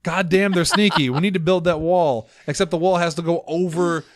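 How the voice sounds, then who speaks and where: एक आदमी जो पॉडकास्ट का सह-होस्ट है, मज़ाकिया और व्यंग्य भरे अंदाज़ में बातें करता है और अक्सर हँसता है। रिकॉर्डिंग सूखी और पास के माइक से है, गूँज नहीं।. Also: male voice, high voice, fairly even intonation, a little fast, dry recording, clean studio sound. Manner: joking, sarcastic, laughs often. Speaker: podcast co-host. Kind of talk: casual podcast chat.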